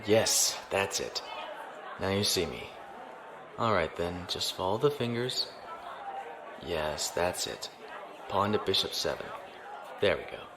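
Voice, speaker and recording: An actor playing a self-assured naval officer, giving a dry, cool inner monologue. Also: male voice, deep voice, expressive, slow, pauses often, a crowd in the background, noisy background, heavily acted. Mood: coaxing. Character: cool, cocky male naval officer in disguise. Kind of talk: audio drama acting.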